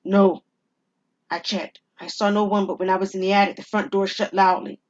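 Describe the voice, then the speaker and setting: A woman's voice: mid-range voice, level tone, normal speed, dull audio, close to an everyday voice. A woman performing an urgent, flustered husband spooked by an intruder in an audio drama. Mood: uneasy, placating.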